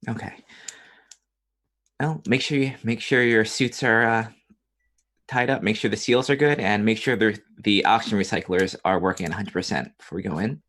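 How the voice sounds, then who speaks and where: A woman with a low voice plays a tabletop roleplaying game. Her talk is casual, moving between questions and in-game remarks. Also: moderate pace.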